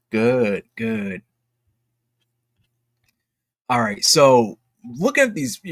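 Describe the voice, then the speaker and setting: A man hosting a casual livestream, speaking in informal slang with a self-deprecating, jokey manner and often repeating himself as he goes. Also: medium pitch. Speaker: livestream host.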